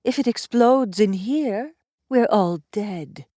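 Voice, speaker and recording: A woman playing a cold, no-nonsense warrior in an audiobook drama, speaking flatly, firmly and curtly. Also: mid-range voice, slow, no echo, clean studio sound, close to an everyday voice. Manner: flat, firm, curt. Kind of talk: cinematic audiobook character acting.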